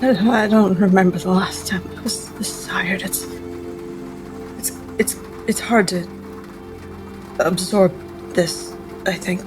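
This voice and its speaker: A performer playing an exhausted, soft-spoken adventurer in a tabletop game, halting and hesitant. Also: mid-range voice, steady tone, over music, natural voice.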